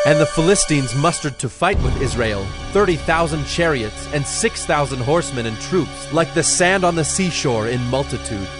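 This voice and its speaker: A man narrating dramatized scripture in a measured, solemn way. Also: a little high.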